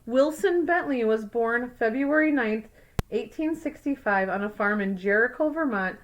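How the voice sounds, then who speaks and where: Higher-pitched woman teacher reading aloud. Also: expressive, normal speed, a little hiss or hum, poor audio. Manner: explanatory, adding simple comments for young listeners. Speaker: second grade teacher. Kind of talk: picture book read-aloud with explanatory asides for children.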